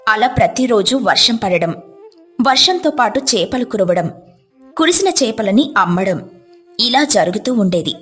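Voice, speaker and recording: High-voiced woman narrating a story. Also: expressive, unhurried, husky, faint music behind. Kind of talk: scripted narration of a devotional moral story.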